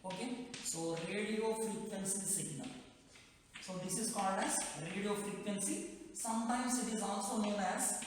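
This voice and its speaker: Male physics teacher, Indian English accent, high-pitched, recorded at a distance in a reverberant room with faint noise. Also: steady tone, moderate pace. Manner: explanatory, repeats "okay" and "nothing but", frequent "uh" fillers. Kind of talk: exam answer walkthrough lesson.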